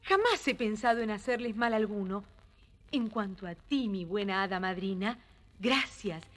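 A woman playing a sweet, humble, forgiving young heroine, speaking gently and politely in a theatrical fairy tale. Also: medium pitch, animated, moderate pace, lightly acted. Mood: tender. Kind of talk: theatrical children's story record acting.

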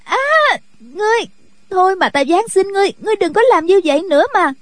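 A woman's voice: very high voice, fast, no echo, studio quality, pitched above the performer's own voice. A woman voicing a terrified young woman who pleads desperately in a wuxia audiobook. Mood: panicked pleading.

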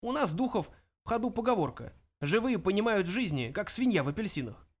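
A man voicing a grumpy, scornful ancient ghost of a great-grandfather, with a creaky voice and stern, pompous scolding, in an audiobook. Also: high voice, sing-song, fairly quick, pitched above the performer's own voice. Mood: lofty.

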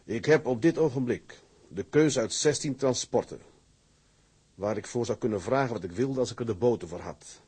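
Proud, husky sea-tug captain. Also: male voice, mid-range voice, expressive, moderate pace, darkish tone. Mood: determined, bitter. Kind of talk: Dutch radio play acting.

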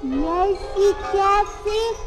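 Very high-voiced eager little boy. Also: expressive, very slow, heavily acted. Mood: awed, excited. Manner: wide-eyed, eager, exclaiming. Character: eager, curious little boy on a night adventure. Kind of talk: Soviet children's audio play acting. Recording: vintage 1970s flexi-disc with music.

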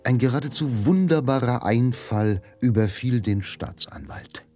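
An actor narrating a radio drama as a grave, literary storyteller. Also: male voice, medium pitch, measured pace, a little mellow, faint music behind, lightly acted.

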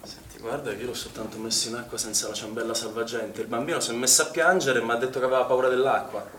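A man's voice: mid-range voice, fairly even intonation, fast talker, fairly bright tone, lightly acted. An actor playing a defensive, irritable male swimming instructor in a naturalistic stage drama, agitated and blunt in manner. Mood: defensive, annoyed. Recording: echoey, distant stage recording with noise.

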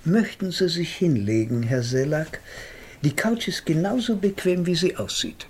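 Radio drama role: smug older male analyst, wordy and formal, swooping intonation with a gravelly edge. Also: fairly high pitch, animated, measured pace, slight background noise. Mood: soothing, professional. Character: pompous older male psychoanalyst, smug and unflappable. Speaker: narrator and psychotherapist. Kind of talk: German radio drama acting, literary narration.

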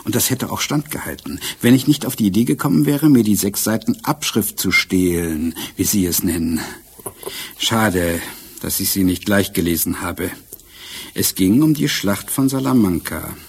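Husky, low-voiced shrewd police inspector. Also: male voice, normal speed. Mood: explaining. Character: shrewd, self-assured male police inspector solving a murder. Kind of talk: crime radio drama acting.

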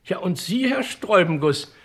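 Husky, creaky old gangster grandpa. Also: male voice, high voice, expressive, moderate pace, fairly bright tone, exaggerated voice. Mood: grumbling. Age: older. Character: grumbling old gangster grandpa, frail but shrewd. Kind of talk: German radio crime comedy acting.

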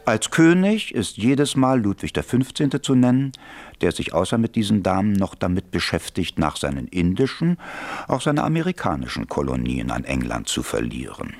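Male narrator for radio drama; wry, theatrical, very lively intonation. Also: low-pitched, normal speed. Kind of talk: scripted radio play narration.

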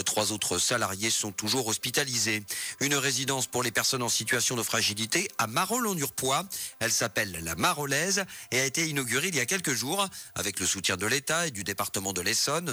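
A man's voice: mid-range voice, sharp tone. A man with a French accent reading a radio news bulletin. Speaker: news reader.

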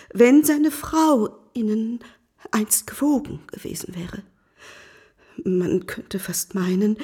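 An actress playing a desperate, virtuous wife in a radio drama, imploring formally and emotionally in a breathy voice. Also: female voice, mid-range voice, warm tone. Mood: reproachful, hushed. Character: desperate virtuous wife pleading to save her family. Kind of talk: literary radio drama acting.

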